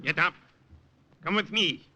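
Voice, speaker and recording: An actor playing an obedient military underling in a 1950s radio drama, curt and commanding. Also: male voice, high-pitched, a little slow, bright, forceful tone, full character voice. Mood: harsh. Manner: curt parroted orders. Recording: very noisy old radio recording.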